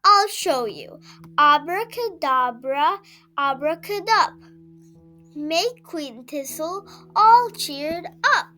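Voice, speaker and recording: A woman's voice: high-pitched, lively intonation, slow pace, pushed and bright, faint music behind, exaggerated voice. A woman voicing a confident, cheerful magical nanny in a children's storybook, breezy and self-assured.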